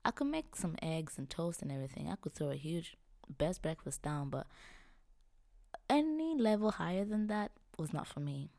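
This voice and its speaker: A woman's voice: sits low, sing-song, moderate pace, creaky, warm tone, dry recording, studio quality. A young woman, hosting a podcast and telling her own story in a reflective, personal way. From Tanzania. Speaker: podcast host.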